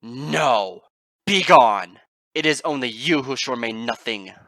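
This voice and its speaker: A man's voice: a little high, moderate pace, sharp tone, no echo, clean studio sound, pitched above the performer's own voice. A man voicing an ancient regal goddess queen in an audiobook reading, grave and solemn. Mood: booming.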